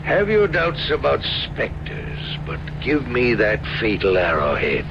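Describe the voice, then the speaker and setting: A muffled old radio mystery with vehicle noise. a commanding colonel, gravelly and ominous, his pitch rising and falling dramatically. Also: huge pitch swings, a little slow.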